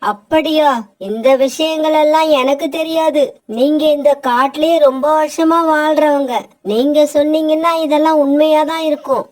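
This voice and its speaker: Brief: woman voicing a curt, high-voiced female kite doctor, cartoon, scolds nosy neighbours. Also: steady tone, moderate pace, a little mellow, lightly acted, rough recording. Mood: matter-of-fact. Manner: curt, dismissive, lecturing. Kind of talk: cartoon voice acting.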